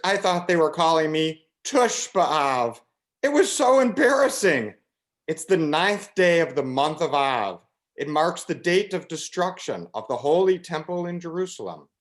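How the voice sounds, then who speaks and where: A woman playing a mournful, self-mocking fast-day holiday in an amateur comic monologue. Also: low voice. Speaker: performer in a congregation's reader's theater.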